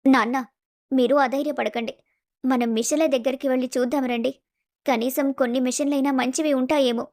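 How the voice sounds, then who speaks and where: A woman acts out a determined young daughter in a family story. She speaks very quickly in a high voice, with eager emphasis. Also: fairly even intonation, darkish tone. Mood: encouraging. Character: determined, encouraging young daughter of mill owner. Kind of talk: dramatized moral story acting.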